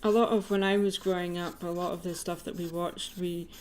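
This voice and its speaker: A woman's voice: fairly low pitch, little pitch movement, brisk, a little mellow, a little hiss or hum. A woman in casual, conversational chat, the kind heard on a livestream. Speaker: artist hosting a livestream.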